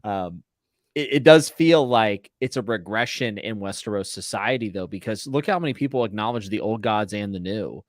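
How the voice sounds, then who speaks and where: A man's voice: on the low side, lively intonation, moderate pace, dry recording, studio quality. A man co-hosting a live readalong chat, talking casually about a book.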